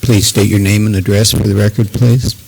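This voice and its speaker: A man chairing a county meeting, briskly calling speakers forward. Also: on the low side, flat delivery, gravelly, a little mellow. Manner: brisk and procedural. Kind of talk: formal meeting procedure. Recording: noisy public meeting recording.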